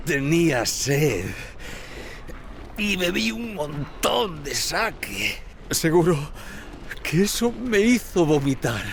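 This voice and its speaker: Game dub: jittery oddball peasant, highish voice swinging about, drawn-out rambling, slightly noisy backdrop. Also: male voice, big pitch swings, fairly bright tone, exaggerated voice. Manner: slow, nervous, rambling, swooping. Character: timid, addled villager hiding under a basket. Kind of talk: dubbed video game character acting.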